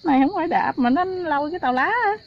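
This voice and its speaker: A woman's voice: sits high, lively intonation, racing. A middle-aged woman with a southern Vietnamese accent, chatting casually outdoors. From Ben Tre, southern Vietnam. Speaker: mushroom picker, mother of family. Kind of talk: casual chat. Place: outdoors by a creek in a coconut grove. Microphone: off-camera, picked up by filmer's device. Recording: dull outdoor recording, loud insect noise.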